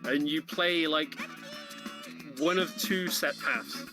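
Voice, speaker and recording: A man's voice: fairly high pitch, takes it slowly, music in the background. A man giving casual commentary on a video game run. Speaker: speedrun event commentator.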